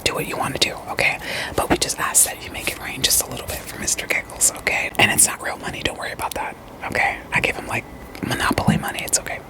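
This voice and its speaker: A woman whispering an ASMR roleplay as a therapist, in a soft, deadpan, gently questioning manner. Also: poor audio.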